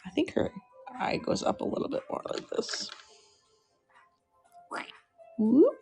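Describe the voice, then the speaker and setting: A middle-aged woman chatting softly and unhurriedly with viewers while she draws on a livestream. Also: high-pitched, huge pitch swings, normal speed, vocal fry, faint music behind. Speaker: live-streaming artist. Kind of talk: casual livestream chat while drawing.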